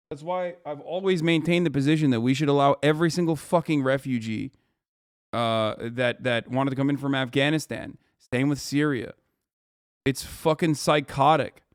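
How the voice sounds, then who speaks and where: A man's voice: medium pitch, clear, resonant tone, no echo, clean studio sound. A man streaming live, reacting to a news video with heated, profane rants and emphatic repetition.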